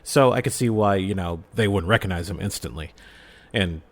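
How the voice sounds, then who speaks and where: Man, low-pitched, casual podcast talker who slips readily into acted voices. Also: moderate pace. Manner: animated, breaks into acted character voices.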